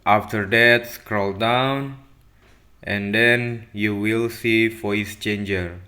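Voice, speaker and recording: A man presenting an instructional software tutorial in simple steps, often checking in with a short tag question. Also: fairly low pitch, fairly even intonation, very slow, smooth. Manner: simple step-by-step phrasing with frequent "okay?".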